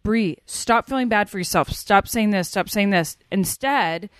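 A woman's voice: mid-range voice, a little slow, dry recording. A woman guest on a podcast, chatting and telling stories with quoted asides. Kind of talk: casual chat.

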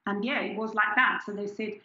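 A woman's voice: mid-range voice, fairly even intonation, fairly quick, bright, forceful tone, reverberant, muffled recording. A woman in her forties from Switzerland, reflectively telling her story as an interview guest with many restarts. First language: German. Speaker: scientist guest. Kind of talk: candid personal interview.

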